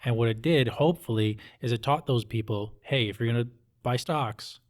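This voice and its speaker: Blunt American man, medium pitch, chatting. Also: moderate pace. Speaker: interview guest. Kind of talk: casual conversation.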